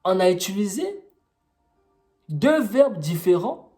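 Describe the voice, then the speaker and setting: A young Congolese man speaks French with a Congolese accent, his voice fairly high yet soft and mellow. He explains things patiently, pausing on fillers and posing questions to his listeners, over faint background music. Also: very animated. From Congo-Brazzaville. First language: Kituba. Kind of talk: informal teaching testimony.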